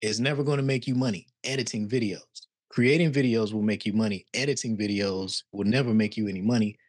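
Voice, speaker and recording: A man's voice: fairly low pitch, fairly even intonation, brisk, darkish tone, no echo, clean studio sound. A man in his forties coaching a guest on a video call from his living room, direct and pushing. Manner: direct, pushing, repeats words. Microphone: studio mic on arm, video call.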